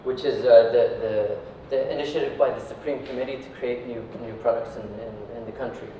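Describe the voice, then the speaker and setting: A man's voice: mid-range voice, fairly even intonation, a little fast, recorded from a distance. Man speaking in a very echoey room. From Iraq. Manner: conversational, peppers sentences with tag questions like right and yeah. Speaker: speaker giving a talk, company founder. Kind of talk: informal motivational talk to a live audience. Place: echoey indoor venue, recorded at a distance. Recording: echoey room from afar, dull, faint noise.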